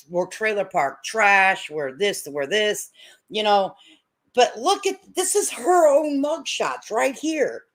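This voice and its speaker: A woman's voice: mid-range voice, fairly bright tone. A woman hosting a casual livestream commentary, talking to her chat in blunt, opinionated, folksy slang and repeating points for emphasis. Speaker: livestream host.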